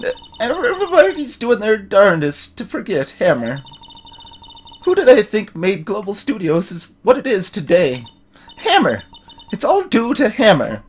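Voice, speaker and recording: A man's voice: high voice, wildly swooping pitch, measured pace, a little hiss or hum, voice pushed up, exaggerated voice. A man voicing a cranky, indignant old woman security guard in a video game, scolding and sputtering melodramatically. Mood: grumbling, defiant. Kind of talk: dramatic video game dub-along.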